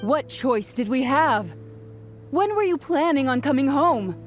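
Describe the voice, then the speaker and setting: A high, animated concerned mother. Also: a little slow, faint music behind. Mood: exasperated. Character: concerned, reproachful middle-aged mother. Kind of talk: English game dub cutscene acting.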